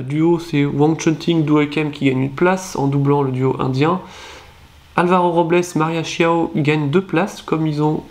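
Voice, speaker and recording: A man's voice: fairly high pitch, a little mellow. A young man from France with a cold, hosting his own channel and reading through rankings in a casual, hesitant way, full of fillers and self-corrections. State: nasal voice from a cold. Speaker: channel host.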